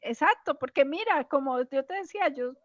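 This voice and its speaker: A woman's voice: high voice, expressive, fairly quick, no room sound, muffled recording. A Colombian woman with a Colombian accent, hosting a casual interview in an effusive, admiring way. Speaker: host, stage performer.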